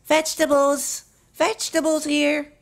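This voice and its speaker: A woman puts on a cheeky little girl pony for a storybook. The voice is lifted well above the reader's own, with some creak in it. She comes across as eager and earnest, like a small child. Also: sits high, little pitch movement, a little slow, pitched above the performer's own voice, heavily acted. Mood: eager, calling out.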